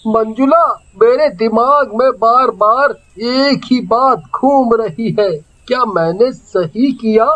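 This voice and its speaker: A woman's voice: on the high side, slow pace, few pauses. A woman playing a poor, troubled, honest fisherman in a children's cartoon story, speaking earnestly.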